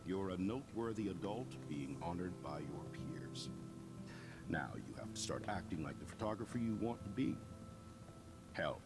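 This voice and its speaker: Deep-voiced, hearty school principal. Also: male voice. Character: middle-aged male school principal, grumbling but encouraging, self-important. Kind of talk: video game voice acting.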